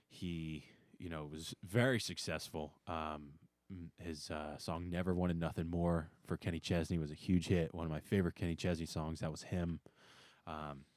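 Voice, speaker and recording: A man chatting casually as a musician guest on a podcast, with a hesitant, halting delivery. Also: low voice, darkish tone, no echo. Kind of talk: casual chat.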